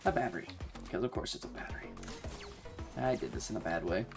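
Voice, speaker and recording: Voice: sits low, very animated, fairly quick, creaky, over music. A streamer chatting casually and swearing freely while playing a game on a livestream.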